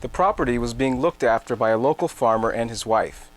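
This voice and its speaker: A man reading scripted narration for a dramatic reading in a measured, factual manner. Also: medium pitch.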